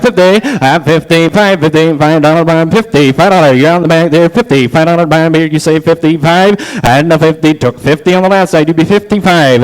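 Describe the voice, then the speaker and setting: Male auctioneer, slightly high voice. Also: poor audio. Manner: repetitive number chant. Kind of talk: live auction bid calling.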